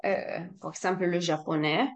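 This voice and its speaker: A woman's voice: lowish voice, fairly even intonation, normal speed, dull audio. A woman with an Italian accent, a native Italian speaker talking in French as a learner, hesitant with frequent restarts and laughs. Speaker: language learner, guest. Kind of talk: casual conversation.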